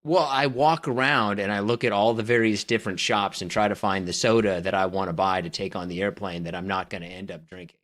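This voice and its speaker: A man's voice: on the low side, steady tone, fairly quick, hardly any pauses, a bit bright. A man co-hosting a casual podcast chat, teasing his partner and handing out scores. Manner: teasing, judging and rating his co-host. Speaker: podcast co-host.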